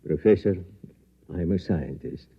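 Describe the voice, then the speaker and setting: An actor playing an earnest, troubled scientist in a 1950s radio drama, grave and hesitant. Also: male voice, deep voice, animated, measured pace, breathy edge, exaggerated voice. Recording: dull old radio recording.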